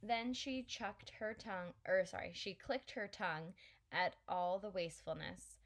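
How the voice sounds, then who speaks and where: A woman narrating a children's novel aloud in a clear, steady storytelling voice. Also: medium pitch, unhurried. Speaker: audiobook reader.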